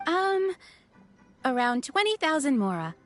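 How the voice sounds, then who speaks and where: A polite young waitress with a very high, lively voice. She sounds brisk and service-minded, in anime game style. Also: no room sound, faint music behind. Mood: polite, businesslike. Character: polite, brisk young female restaurant server.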